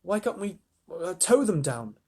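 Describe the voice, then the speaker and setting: A man's voice: high voice, big pitch swings, unhurried, a little mellow, breathy edge, pitched above the performer's own voice, exaggerated voice. A man voicing an eager, earnest young boy. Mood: earnest urgent. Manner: earnest, urgent.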